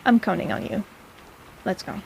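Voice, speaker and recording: A woman's voice: medium pitch, very animated, moderate pace, vocal fry, slight background noise, rough recording. A woman playing a snarky but caring apothecary in an intimate fantasy audio roleplay, chatty and teasing in a casual way. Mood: reassuring, wry.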